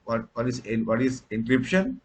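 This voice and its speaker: Halting Sinhala-native man explaining. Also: mid-range voice, animated, a little mellow. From Sri Lanka. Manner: halting, frequent "uh" fillers and restarts. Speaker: instructor. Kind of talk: recorded tutorial lecture.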